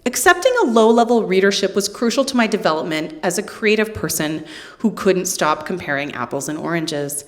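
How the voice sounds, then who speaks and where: A middle-aged woman giving a live literary reading in a hall, with deadpan, wry humour and casual asides to the listeners. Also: on the low side, brisk. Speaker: poet reading from own book.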